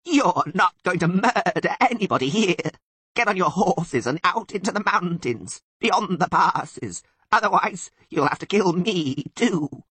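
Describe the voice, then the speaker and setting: A man voicing an indignant, abusive goat-like sylvan devil in an audiobook, with a raspy bleat and emphatic, scolding delivery. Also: high-pitched, animated, unhurried, pushed and bright, dry recording, voice pushed up, exaggerated voice. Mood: defiant. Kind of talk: audiobook character voice.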